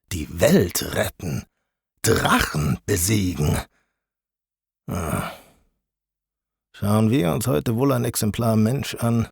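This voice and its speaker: A man's voice: on the low side, creaky, husky, dry recording, studio quality, exaggerated voice. A man playing a sarcastic, grumbling audio play narrator who mocks the story theatrically and breaks character with snide asides. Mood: theatrical, wry. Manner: theatrical, mocking.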